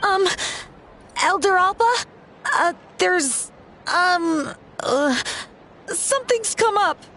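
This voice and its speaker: A timid young female villager in a video game. Her voice is very high and bright, and she stammers along extremely slowly, flustered and unsure, with faint outdoor ambience. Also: animated, slight background noise, heavily acted. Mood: nervous, flustered. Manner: hesitant, stammering.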